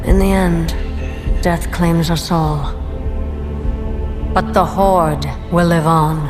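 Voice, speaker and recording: A woman's voice: fairly low pitch, steady tone, slow. An actress playing a cold, proud undead elven queen in a game cinematic, grave and commanding. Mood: cold, resolute. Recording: loud cinematic music behind the voice.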